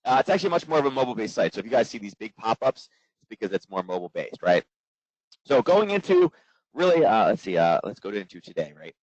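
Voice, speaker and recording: A man in his forties, hoarse from coaching, giving a trading webinar in a quick, casual way full of fillers. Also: fairly low pitch, very animated, a little mellow, no echo. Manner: quick, filler-heavy, conversational. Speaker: trading instructor. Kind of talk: live webinar presentation. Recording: dull, dry lo-fi webinar audio.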